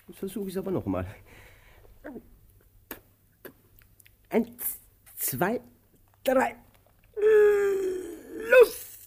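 A weary male tramp in a theatrical radio play. His voice is husky and swoops widely in pitch, and he drags out his words slowly as he grumbles with childlike self-pity. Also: darkish tone, heavily acted. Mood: frustrated, straining. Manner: plaintive, grumbling. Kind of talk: theatrical radio drama acting.

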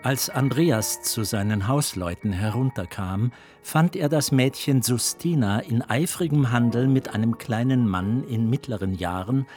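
A man's voice: medium pitch, unhurried, few pauses, a little mellow. Male narrator, literary radio play, takes his time, bit of music behind.

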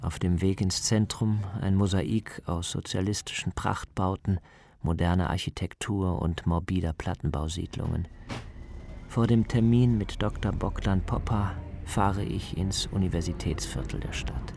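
Deep, gravelly male documentary narrator. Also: fairly even intonation, measured pace, a little mellow, a little hiss or hum. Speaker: narrator and reporter of a radio feature. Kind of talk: scripted atmospheric documentary narration.

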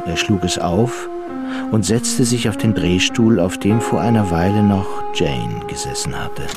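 A calm crime-story narrator with a deep voice. He delivers scripted radio narration over loud music. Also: unhurried.

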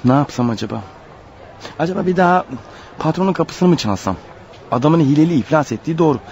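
An actor playing a proud but harried unemployed husband in radio theatre, defensive, pleading and exasperated. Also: male voice, a little high, expressive, quick pace, darkish tone, slight background noise. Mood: dejected, musing. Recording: dull lo-fi radio play recording, faint music.

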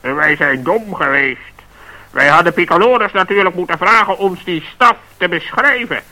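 An actor playing a self-important wise old bird in a radio play, speaking in a fussy, pompous way. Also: male voice, sits high, animated, moderate pace, pushed and bright, heavily acted, poor audio.

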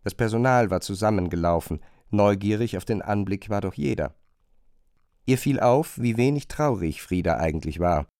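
A man narrating a literary radio drama in a measured, pointed, questioning manner. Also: fairly low pitch, expressive, darkish tone, dry recording, clean studio sound.

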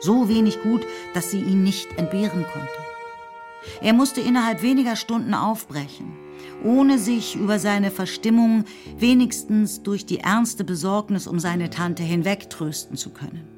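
A woman giving scripted literary narration in a measured storytelling manner. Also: medium pitch, darkish tone, soft background music.

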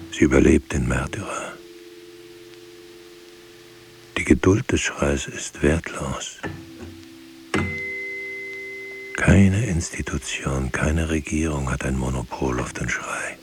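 An actor playing a brooding, weary writer who speaks poetic radio drama monologue in a deliberate, halting way. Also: male voice, expressive, a little hiss or hum, full character voice. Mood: hushed, grave. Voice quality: gravelly, husky, low. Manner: deliberate, halting, hushed at times. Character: brooding, weary male writer pondering word and truth.

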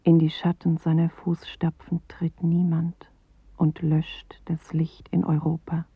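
A solemn, measured narrator of bleak poetry in a radio play. The voice is breathy and creaky, dry and close, in a narrow-band old recording. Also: medium pitch, monotone, no echo, heavily acted. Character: grave poetic narrator reciting bleak apocalyptic images. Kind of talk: literary radio-play recitation.

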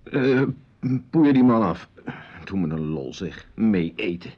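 Husky, grumbling village doctor. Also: male voice, deep voice, sing-song, takes it slowly, heavily acted. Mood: irritated, flustered. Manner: hesitant, muttering, informal. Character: grumbling but hospitable village doctor, a husband fond of drink. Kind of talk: Dutch radio play acting. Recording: dull lo-fi radio play recording.

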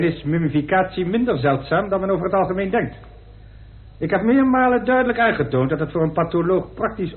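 A male pathologist testifies in a radio drama with a high voice. He is precise, clinical and sure of himself. The recording is tinny and narrow, as from an old radio. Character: matter-of-fact male pathologist, a doctor giving testimony.

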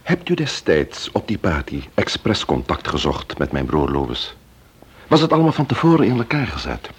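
An actor playing a serious, probing investigator in a Dutch radio crime drama. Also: male voice, sits low, huge pitch swings, moderate pace, breathy edge, heavily acted.

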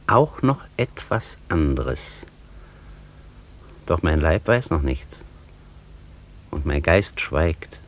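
An actor playing an exalted master poet and thinker in a German radio drama, speaking in a solemn, rhapsodic way with weighty pauses. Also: male voice, rumbling low, heavily acted. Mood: solemn, musing. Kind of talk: German radio drama acting, literary declamation.

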